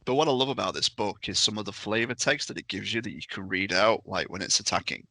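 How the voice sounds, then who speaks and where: Male game master, lowish forceful voice, punchy casual storytelling, dry close sound. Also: fast talker, dry recording. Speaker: podcast panellist and tabletop game master.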